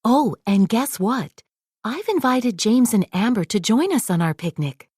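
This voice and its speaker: Kind storybook mother, voiced by a woman, unhurried. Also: fairly high pitch, animated, darkish tone, breathy edge, dry recording, clean studio sound. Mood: pleased. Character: kind, reassuring royal mother.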